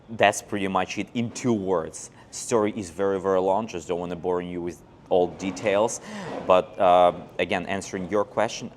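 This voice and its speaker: A man's voice: sits low, slight background noise. A man in his thirties from Belarus, an English teacher telling his story in a casual interview, recorded on a lapel mic. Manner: chatty storyteller, acts out quoted dialogue. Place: outdoors on a paved old street and in a cafe.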